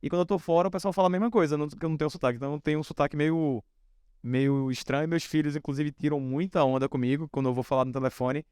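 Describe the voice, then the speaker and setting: A man in his forties from Northeast Brazil, a company founder telling stories from his early days in a relaxed, anecdotal way. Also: a little high, very fast, smooth, no room sound, studio quality. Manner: relaxed anecdotal, many fillers like "né". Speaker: founder and guest speaker. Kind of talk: storytelling on a stage talk.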